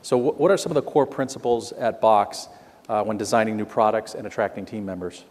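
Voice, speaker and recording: Brisk, low-voiced male interviewer. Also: darkish tone, big-room sound. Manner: brisk, conversational questioning. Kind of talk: interview questions.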